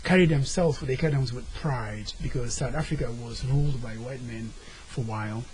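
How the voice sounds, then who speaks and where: A man's voice: medium pitch, brisk, darkish tone, rough recording. Earnest man with faint hiss. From Senegal. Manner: earnest, searching for words. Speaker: guest. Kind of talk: casual interview talk.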